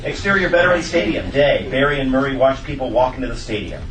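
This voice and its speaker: Man, stage-direction narration, lively pitch, distant mic, noisy room. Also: quick pace. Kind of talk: scripted narration at a live comedy table read. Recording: echoey room, distant mic, background noise.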